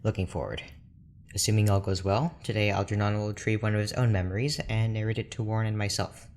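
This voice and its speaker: A man playing a reserved, formal research doctor in an audio drama, speaking in a measured, dry manner. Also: on the low side, flat delivery, darkish tone, natural voice. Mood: measured, clinical. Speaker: scientist character in an audio drama. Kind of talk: scripted audio drama monologue and dialogue. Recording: clear background noise with ambience.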